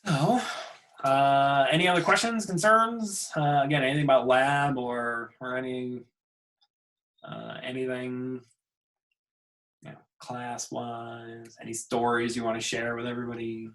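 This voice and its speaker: A man's voice: medium pitch, a little slow, rough recording. Laid-back male instructor on a video call. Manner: relaxed, chuckling, trailing off. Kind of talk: casual class meeting chat. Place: home.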